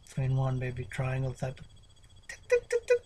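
A man's voice: medium pitch, huge pitch swings, normal speed, mellow, a little hiss or hum. A man in his thirties doing an unscripted fitness vlog in a small bedroom, repeating slogans in a preachy way and drifting into whispers, recorded on a webcam. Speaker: fitness vlogger. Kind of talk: rambling unscripted workout talk. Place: small cluttered bedroom with tapestries. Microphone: webcam at desk distance.

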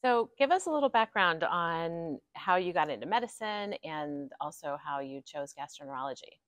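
A woman's voice: on the low side, animated, moderate pace, fairly bright tone, no echo. A woman off camera asking brief, plain interview questions.

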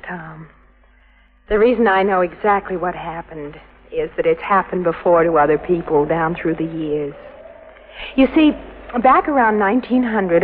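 An actress playing a knowing, teasing sweetheart in a vintage radio drama. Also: female voice, fairly low pitch. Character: knowing, teasing sweetheart explaining a local ghost legend. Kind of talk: 1950s radio drama acting. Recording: noisy, muffled old radio recording.

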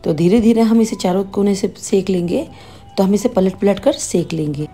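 Woman doing a cooking voice-over, calm step by step explaining, mellow voice, faint music behind. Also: mid-range voice, normal speed. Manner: patient, explains each step plainly. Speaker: cooking channel host.

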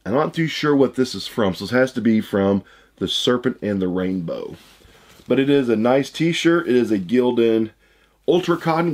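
A man in his thirties with a hoarse voice he says he is losing, chatting casually as he unboxes items at a desk indoors. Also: mid-range voice. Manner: chatty, rambling, upbeat. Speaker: unboxing channel host. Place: room with shelves of shoe boxes, indoors.

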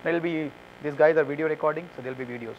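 Male speaker, 30s, rapid and halting, Q&A after a talk, light hum. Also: fairly high pitch, muffled recording. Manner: fast, hesitant, many fillers and restarts. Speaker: conference speaker. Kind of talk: answering audience questions. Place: conference room with curtains. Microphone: lapel mic.

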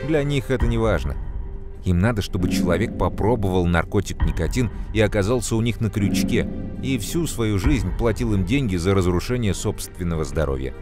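A man voicing a calm adult scientist who explains things to children in a measured, earnest way. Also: on the low side, loud music behind the voice, natural voice. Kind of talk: scripted educational narration.

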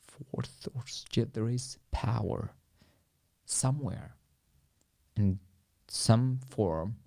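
A young man from Syria with an Arabic accent, speaking English as a second language, gives an earnest, halting personal account as a podcast guest in a small studio, often dropping to near-whispers. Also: lowish voice, vocal fry, dark tone. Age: young adult. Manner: earnest, halting speech with frequent restarts, drops to near-whispers. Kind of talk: personal testimony in a podcast interview. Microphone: studio boom mic with headphones.

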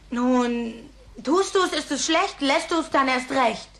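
An actress playing a cheeky, flippant slacker schoolboy of about ten in a German cartoon dub. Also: female voice, sits high, takes it slowly.

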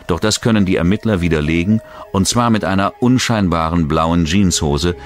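A man's voice: deep voice, normal speed. A man reads a scripted true-crime documentary narration. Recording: music bed behind the voice. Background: background music.

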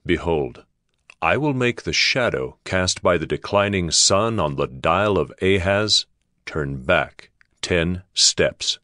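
A deep-voiced, grave prophet. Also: male voice, animated, slow pace, bright, forceful tone, no room sound, clean studio sound, heavily acted. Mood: solemn proclaiming. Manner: authoritative, declamatory. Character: grave male prophet delivering God's word. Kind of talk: dramatized scripture reading.

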